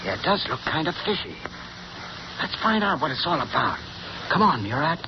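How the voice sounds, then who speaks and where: A brash, wisecracking gangster henchman in a vintage radio play. His voice is high and lively. The old broadcast sounds dull and hissy. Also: moderate pace. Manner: brash, wisecracking tough-guy talk. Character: tough-talking gangster henchman. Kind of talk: 1930s radio drama acting.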